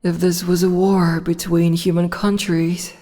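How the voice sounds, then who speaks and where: A woman's voice: lowish voice, flat delivery, dark tone, long reverb tail. A woman voicing a haughty, commanding demon empress who teases in a condescending way, in an audio roleplay. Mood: teasing. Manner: condescending, slow and teasing. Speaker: voice actress.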